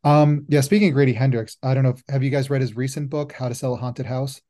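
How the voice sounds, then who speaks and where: A man's voice: medium pitch, fairly even intonation, fast, dark tone. A man hosting a podcast, musing aloud in a rambling way with many fillers during a casual roundtable chat. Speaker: podcast host.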